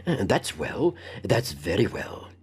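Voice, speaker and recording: A man voicing an eager, absent-minded elderly gentleman who stammers, repeats himself and chuckles in a storybook reading. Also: fairly low pitch, big pitch swings, slow, husky, full character voice. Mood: eager, fussy. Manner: stammering, repetitive, hemming and chuckling.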